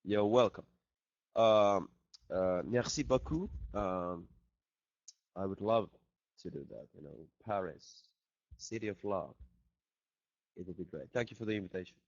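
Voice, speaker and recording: A man's voice: on the low side, a little slow, long pauses, darkish tone, dry recording. A man, a band member, joking and reading out fan questions in a casual video chat. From Finland. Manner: playful, jokey, reads questions aloud. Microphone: laptop video call mic. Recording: dull lo-fi video call audio.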